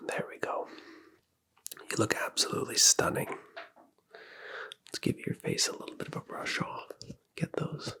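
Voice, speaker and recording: An ASMR performer speaking in a breathy whisper, soothing and flattering in a personal-attention roleplay. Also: moderate pace, pauses often. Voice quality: breathy, mostly unvoiced whisper.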